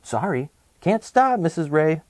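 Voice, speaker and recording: A hurried, muddled little boy from a storybook, acted out by a man, recites in a breezy singsong. The pitch is raised and swoops a lot, with some words stretched out. The recording is dry. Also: very animated, no echo. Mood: hurried, confused. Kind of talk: children's picture book read-aloud.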